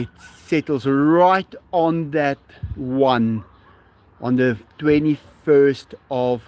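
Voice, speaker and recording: A man with a South African Afrikaans accent, a native Afrikaans speaker, chatting casually on a live stream outdoors, musing as he answers viewers. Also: medium pitch. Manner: musing, unhurried, reads viewer questions aloud and chuckles. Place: outdoors at sunset.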